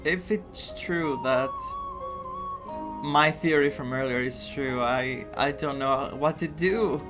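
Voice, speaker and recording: Guy with streaming a game, casual reactions, medium pitch, music in the back. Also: takes it slowly. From Greece. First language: Greek. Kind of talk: casual gameplay reaction and reading aloud.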